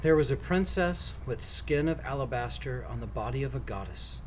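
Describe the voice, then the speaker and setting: A man reading a storybook aloud to children in an expressive storytelling style. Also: medium pitch, normal speed. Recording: faint background noise.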